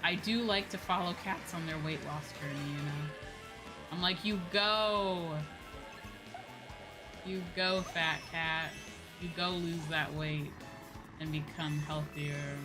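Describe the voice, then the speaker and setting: Music plays clearly behind a woman with a fairly low-set voice. She talks like a livestreamer in the middle of a game, casual and informal. Her speech rambles into asides and is peppered with swearing. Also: fairly even intonation, takes it slowly, pauses often. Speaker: livestreamer, VTuber host. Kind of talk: casual chatty gaming stream talk.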